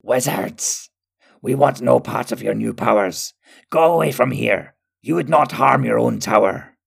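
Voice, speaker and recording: A man's voice: mid-range voice, animated, measured pace, creaky, husky, heavily acted. A man voicing a vile monster that cries out chanting rhymes, frightened but defiant. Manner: crying out in rhymed, chanting pleas. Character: vile, rhyming monster, terrified yet defiant.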